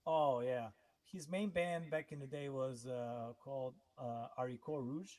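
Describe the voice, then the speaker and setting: A man's voice: medium pitch, darkish tone. Serbian man hosting a casual livestream. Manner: halting, many restarts and fillers. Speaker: host.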